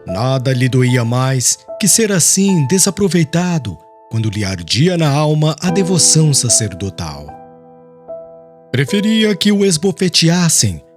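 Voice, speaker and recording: Expressive, higher-voiced male audiobook narrator. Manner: solemn, formal. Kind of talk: scripted dramatic literary narration.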